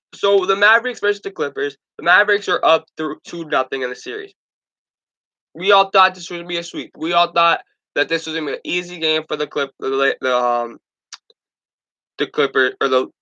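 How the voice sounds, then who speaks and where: A man's voice: on the high side, sharp tone, muffled recording. A young man hosting a casual sports talk stream, stuffed up from allergies, rambling with stumbles and restarts.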